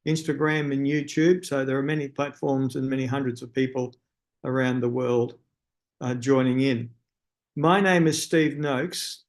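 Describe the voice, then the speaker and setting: A man with an Australian accent hosting an online symposium over a video call, friendly, with frequent fillers and restarts. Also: medium pitch. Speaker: webinar host. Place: speaking from a room in a city at night.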